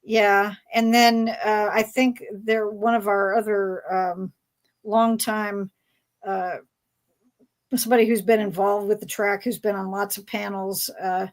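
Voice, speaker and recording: A woman's voice: medium pitch, little pitch movement, measured pace. A woman hosting a casual fan panel, talking in a hesitant, rambling way as she searches for words. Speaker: panel host.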